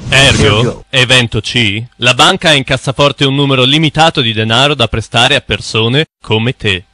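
An actor playing a smug, knowing cartoon guide who lectures in a didactic, impatient manner. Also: male voice, mid-range voice, normal speed, bright, forceful tone, no echo, natural voice. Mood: knowing, brisk. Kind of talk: animated cartoon narration and dialogue.

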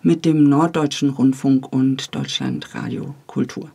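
A woman's voice: a bass voice, very animated, unhurried, gravelly, warm tone, slightly rough. A woman talking casually as an interview guest, recorded in a studio. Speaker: interview guest, radio editor.

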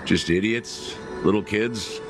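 An actor playing a wry, world-weary middle-aged detective in a video game, laid-back and sardonic. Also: male voice, fairly low pitch, takes it slowly, music in the background. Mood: evasive, sardonic. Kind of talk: video game cutscene acting.

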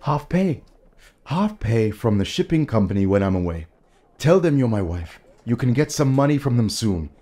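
A man's voice: mid-range voice, big pitch swings, moderate pace, mellow. An actor playing a proud young dock worker and father from Sierra Leone, earnest and formal in an audio drama.

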